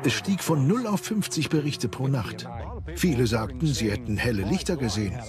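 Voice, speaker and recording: A man's voice: mid-range voice, big pitch swings, moderate pace, music in the background. A man giving scripted eyewitness testimony as a voice-over in a documentary.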